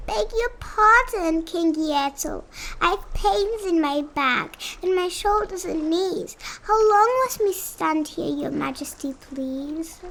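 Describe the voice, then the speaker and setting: A woman's voice: very high-pitched, lively intonation, takes it slowly, fairly bright tone, voice pushed up, full character voice. A woman voicing a small, plain, suffering turtle in a storybook, polite and plaintive. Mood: timid, pleading. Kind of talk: storybook read-aloud character voice.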